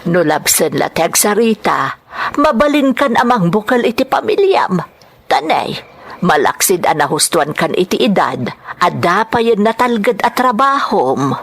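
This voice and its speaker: A woman playing a warm, chatty mother who teases and praises her grown son in an Ilocano audio drama. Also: mid-range voice, big pitch swings, unhurried, vocal fry, exaggerated voice. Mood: coaxing. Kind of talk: Ilocano radio-style drama acting.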